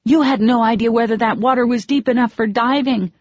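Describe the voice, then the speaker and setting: A woman reading an audiobook, voicing a quick-tempered young woman who snaps back sharply. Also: animated, no echo. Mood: furious. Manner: shrill when angry, sharp retorts. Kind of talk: audiobook dialogue. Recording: dull lo-fi dry audio.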